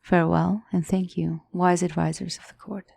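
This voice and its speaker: Female demonic tutor, played by a woman, fantasy ASMR roleplay: lowish, gravelly-edged voice, poised and courtly, a gently reassuring mentor. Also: level tone. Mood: formal, deferential. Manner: formal, measured, courtly phrasing. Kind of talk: ASMR fantasy roleplay, scripted character acting.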